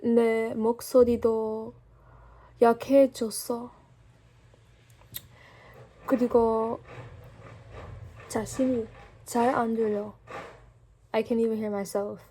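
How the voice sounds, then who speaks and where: A young woman talking casually to her camera while ill, her voice weak and a little strained. Her voice sits high, yet its tone is dark and mellow. She chats loosely, laughs often and drifts between English and learner Korean. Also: little pitch movement, a little fast, pauses often, a little hiss or hum. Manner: chatty, laughing, lots of "like" fillers. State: weak, sick voice. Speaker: vlogger and language student.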